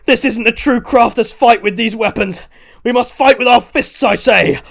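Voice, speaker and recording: A man's voice: very high voice, normal speed, gravelly, fairly bright tone, voice pushed up, exaggerated voice. A man voicing a cocky young male gamer hero with a grandiose, declamatory delivery. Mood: roaring defiance.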